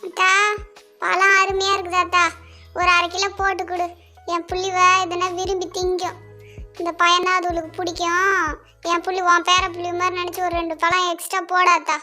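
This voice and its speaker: A performer voicing a hard-up, grumbling village mother in an animated story, in colloquial speech. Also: very high-pitched, fairly quick, soft background music, exaggerated voice. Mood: friendly, coaxing. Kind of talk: cartoon dialogue in colloquial rural speech.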